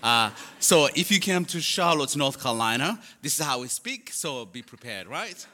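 A man's voice: medium pitch, sing-song, moderate pace, bright, forceful tone. A man from Uganda with a Ugandan accent, speaking English as a later language, gives a personal testimony to a congregation with storytelling and self-deprecating humour. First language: not English; English is his fourth language. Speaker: guest speaker for a charity. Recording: long hall reverb.

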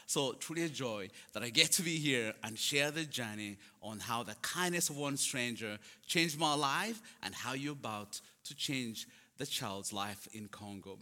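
A man with a Ugandan accent, speaking English as a later language, tells his story as a guest speaker. His voice is bright and forceful at a middle pitch, carried by long hall reverb. He uses a storyteller's delivery with self-deprecating humour. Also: a little slow. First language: not English; English is his fourth language. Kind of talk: personal testimony to a congregation.

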